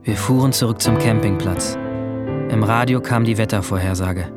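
A man playing a guilt-ridden seventeen-year-old boy, narrating his own story in a measured, introspective way in a radio drama. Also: fairly low pitch, close to an everyday voice. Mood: brooding, sombre. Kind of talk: scripted first-person radio drama narration. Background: music.

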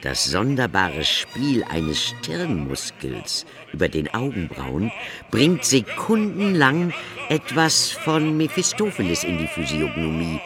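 A slow, deep theatrical storyteller. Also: male voice, huge pitch swings, music in the background, exaggerated voice. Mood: grave fascination. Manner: deliberate, theatrical. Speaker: literary narrator in a radio play. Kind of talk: dramatic literary narration.

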